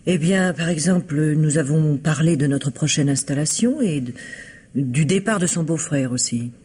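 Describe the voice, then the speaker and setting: Composed, husky, well-bred lady. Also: deep voice, warm tone. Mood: measured, guarded. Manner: polite, measured, precise diction. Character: composed, well-bred bereaved fiancée, guarded and candid. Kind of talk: French radio mystery play acting.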